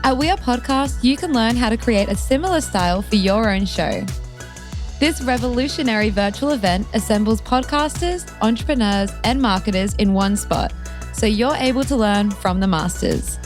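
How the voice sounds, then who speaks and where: A woman reading an advertisement in a bright promotional tone. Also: on the high side, animated, moderate pace, faint music behind. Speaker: ad reader. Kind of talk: read advertisement.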